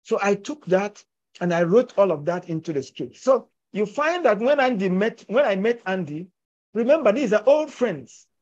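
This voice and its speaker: A man's voice: high-pitched, sing-song, normal speed, darkish tone. An older man telling a story emphatically and persuasively over a video call. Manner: emphatic, persuasive, gesturing with his hands. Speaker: filmmaker and actor discussing his film. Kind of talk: conversational storytelling. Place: indoors at home, virtual backdrop. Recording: muffled video call audio.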